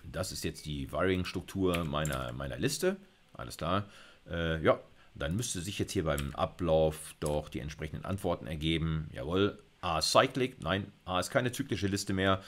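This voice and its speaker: A man's voice: sits low, moderate pace, fairly bright tone, slight background noise. A middle-aged man giving an informal computer science lecture, thinking aloud as he codes live. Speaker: university lecturer. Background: computer keyboard typing.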